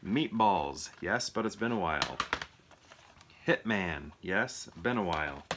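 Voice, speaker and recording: A man's voice: sits low, lively intonation, takes it slowly, background noise. A man chatting casually and off the cuff to viewers as a movie reviewer, rambling and thinking aloud as he questions himself. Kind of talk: casual impromptu chat to viewers.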